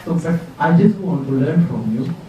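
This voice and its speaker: A man's voice: a little high, lively intonation, moderate pace, a little hiss or hum. A man from Sri Lanka introducing himself at a workshop, modest and earnest as he asks his question. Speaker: workshop participant. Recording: distant dull mic in an echoey room.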